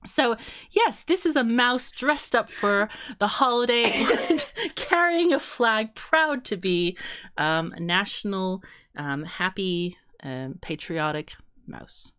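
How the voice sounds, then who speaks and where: A woman in casual podcast chat who laughs a lot and thinks out loud. Her voice sits at a middling pitch but leaps up and down expressively. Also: expressive, takes it slowly, clean tone. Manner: playful, laughs often, guesses aloud. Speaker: podcast co-host.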